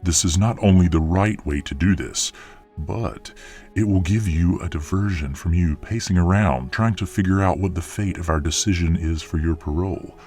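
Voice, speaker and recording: A man plays a stern lord in a fantasy audio drama. His voice is very deep and he speaks with firm authority. Soft music runs underneath. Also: moderate pace. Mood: stern, commanding. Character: commanding, stern male fantasy lord presiding over council.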